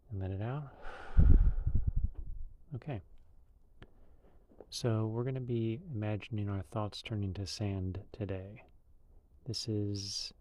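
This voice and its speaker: A man leading a guided meditation in a calm, unhurried, loosely conversational way. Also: fairly low pitch.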